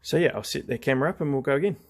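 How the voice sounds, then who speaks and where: A man's voice: mid-range voice, rapid-fire. A man giving a casual, hands-on craft demonstration, explaining each step in an informal, laid-back way. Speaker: craft tutorial host.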